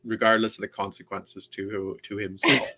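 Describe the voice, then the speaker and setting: A man on a book club panel, explaining in a halting way with many restarts and checks of "right?". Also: lowish voice, lively intonation, vocal fry, bright, forceful tone. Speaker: co-host and panelist. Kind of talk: casual online book discussion.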